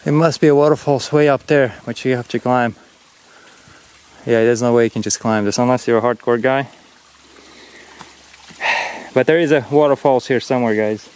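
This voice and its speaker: A man's voice: mid-range voice, moderate pace, pauses often. A man in his thirties chatting to camera while hiking an outdoor mountain trail, filmed at arm's length. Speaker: vlogger. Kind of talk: casual travel vlog. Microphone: handheld camera at arm's length. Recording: loud outdoor noise on camera mic.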